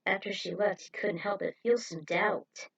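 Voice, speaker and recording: A woman's voice: mid-range voice, fairly even intonation, moderate pace, gravelly, slightly rough, muffled recording. A woman reading scripted fiction aloud as its narrator, in the manner of an amateur storyteller presenting their own writing. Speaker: narrator and writer of fan fiction.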